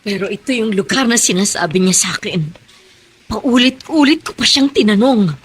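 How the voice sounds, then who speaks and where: A woman's voice: medium pitch, moderate pace, husky, natural voice. A woman playing a fierce, desperate middle-aged mother in a Filipino radio drama. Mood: determined. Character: desperate, fierce mother hunting her missing daughter.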